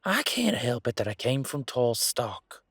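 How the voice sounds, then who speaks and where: A man playing an easygoing, teasing country-boy werewolf bodyguard in a roleplay audio drama, talking in a folksy, informal way with drawn-out hesitations. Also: medium pitch, sing-song, gravelly, a bit bright, slightly rough, dry recording, clean studio sound, full character voice. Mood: teasing, fond. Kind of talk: roleplay audio drama, one-sided conversation.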